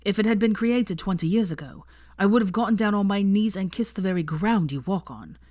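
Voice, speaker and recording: A woman narrating a fanfiction audiobook in a calm, measured, formal way. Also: mid-range voice, no room sound, studio quality. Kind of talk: audiobook-style fanfiction reading.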